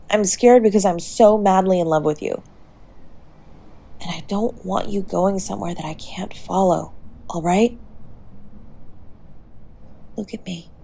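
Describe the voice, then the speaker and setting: A woman playing a tough, sharp-tongued young woman who is secretly tender, in an intimate roleplay audio drama spoken to the listener. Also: mid-range voice, gravelly, husky, heavily acted. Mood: relieved, scared, then soft, apologetic. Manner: brusque, bossy and teasing with urgent pleading.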